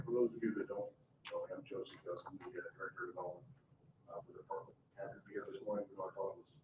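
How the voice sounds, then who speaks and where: A man's voice: low voice, highs cut off. A man giving an informal development report at a public meeting, in an echoey room away from the mic. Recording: echoey room, distant mic, faint noise.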